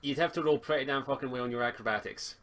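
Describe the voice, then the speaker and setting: A man's voice: medium pitch, fast talker, fairly bright tone. A Scottish man narrating an online tabletop role-playing game as game master in an informal, casual way.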